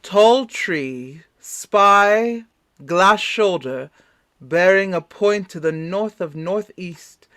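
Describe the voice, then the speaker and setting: Man acting gentleman doctor, deliberate, formal, inquisitive, slow pace, dry recording, radio play. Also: high-pitched, animated, no room sound, exaggerated voice. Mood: shrewd, curious. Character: measured, inquisitive gentleman doctor, shrewd and courteous.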